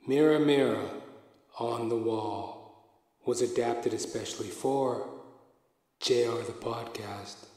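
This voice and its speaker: A man's voice: medium pitch, slow, long pauses, darkish tone. A man reading a scripted credits announcement for a podcast audio play. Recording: very echoey, long reverb.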